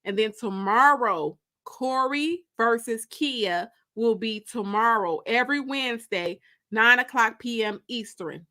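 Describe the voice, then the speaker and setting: A woman's voice: on the high side, bright, forceful tone. An American woman hosting a casual livestream, chatting with viewers in a playful, teasing, colloquial way. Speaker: livestream host and author.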